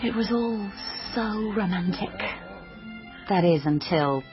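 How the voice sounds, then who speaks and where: A woman's voice: medium pitch, lively intonation, slow. Wry young woman under music. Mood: dreamy, wry. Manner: wry, melodramatic, flustered in dialogue. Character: naive, dreamy, chatty young woman obsessed with detective novels. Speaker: lead character and narrator. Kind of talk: radio drama, dialogue and wry first-person narration. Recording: dull lo-fi radio sound under music.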